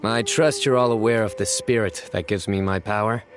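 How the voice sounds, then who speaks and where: Man voicing a grave young game warrior, low terse voice, game music behind. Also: steady tone, normal speed, natural voice. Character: stern young male desert warrior investigator.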